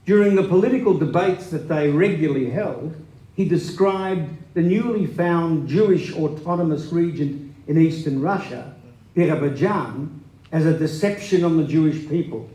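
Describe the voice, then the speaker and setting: A man's voice: fairly high pitch, moderate pace, warm tone. A man giving a scripted dramatic reading of a memoir on stage in an echoey hall. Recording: echoey live hall recording.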